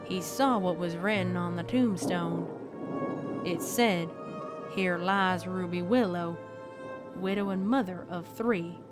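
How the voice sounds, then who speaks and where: A woman voicing a deadpan cowgirl pony who tells a spooky tale in a folksy, dramatic way for a fan fiction audio story. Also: on the low side, slow. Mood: grave, ominous. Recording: background music under the voice.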